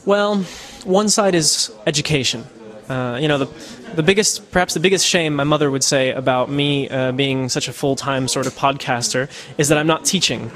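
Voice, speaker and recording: A man's voice: a little high, moderate pace, few pauses, fairly bright tone. A man from the United States, a podcaster, answering interview questions in a casual, thoughtful way with many fillers and restarts. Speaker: podcaster and citizen journalist. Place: Netherlands.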